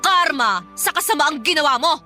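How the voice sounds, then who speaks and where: A woman's voice: high-pitched, expressive, moderate pace, sharp tone, soft background music, full character voice. A Filipino woman playing a vengeful young daughter in a radio drama, taunting her father's mistress with sarcasm and scorn. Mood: scornful taunting.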